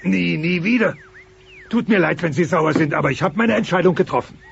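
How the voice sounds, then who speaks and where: A man's voice: high voice, brisk, gravelly, slight background noise. An actor playing a timid, naive, good-hearted dinosaur father in a children's audio drama. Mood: resolute. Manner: stammering when nervous, naive. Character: timid, simple, good-hearted working-class dinosaur dad. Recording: dull lo-fi cassette transfer.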